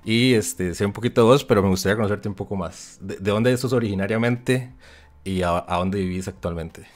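Lower-voiced Rioplatense host. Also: male voice, brisk. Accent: Rioplatense Spanish. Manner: relaxed, brief prompts and questions. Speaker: interview host. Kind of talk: casual interview chat.

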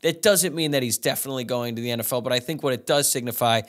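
A man's voice: mid-range voice, quick pace. A young man in his twenties hosting a solo podcast, giving casual postgame sports analysis with frequent fillers into a studio microphone in a small room. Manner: casual, conversational, many "uh" and "like" fillers. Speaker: podcast host. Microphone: studio microphone in front of him.

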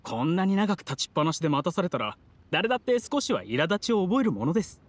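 A man's voice: high voice, very animated, fast talker, dry recording. An actor playing a polite, conciliatory young male scholar in an anime video game, courteous and placating.

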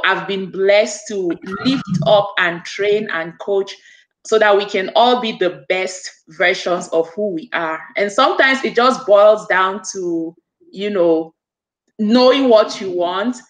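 A woman's voice: mid-range voice, unhurried. Woman, 40s, from Cameroon, motivational, earnest, webcam call, roomy echo. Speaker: guest, business coach and author. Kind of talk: interview guest telling her story. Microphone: webcam on a video call. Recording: echoey room over a video call.

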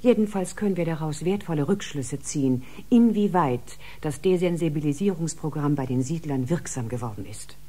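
An actress playing a composed, caring ship doctor in a radio drama, speaking in a measured, diplomatic way. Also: female voice, sits low, animated, mellow. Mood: composed, persuasive. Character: composed, caring female ship doctor and scientist, tactfully persuasive.

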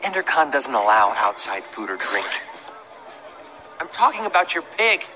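A man's voice: mid-range voice, very animated, moderate pace, sharp tone. A man playing a smug, mocking young rival bully in a video game. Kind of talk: animated video game voice acting.

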